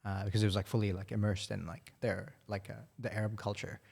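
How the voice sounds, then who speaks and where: A man's voice: on the low side, little pitch movement, fast, gravelly, darkish tone, husky. A young man who grew up in Saudi Arabia and speaks Arabic and English from childhood, talking as a podcast guest in a hesitant, easygoing way with many ums. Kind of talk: casual chat.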